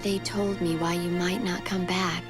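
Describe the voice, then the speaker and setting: An actress playing a gentle, patient mother and faithful wife in a video game drama, tender, measured and soothing. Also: on the low side, flat delivery, close to an everyday voice. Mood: tender, understanding. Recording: loud music behind the voice. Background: loud background music.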